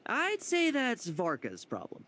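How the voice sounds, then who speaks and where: A man's voice: extremely high pitch, wildly swooping pitch, unhurried, bright, forceful tone, a little hiss or hum, full character voice. An actor playing a sly, playful young male knight in a video game, with a teasing, wry delivery. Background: game music.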